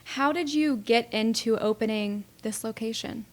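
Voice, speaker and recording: A woman's voice: fairly high pitch, moderate pace. A woman hosting a podcast interview who asks questions and responds with short upbeat affirmations. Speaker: podcast host.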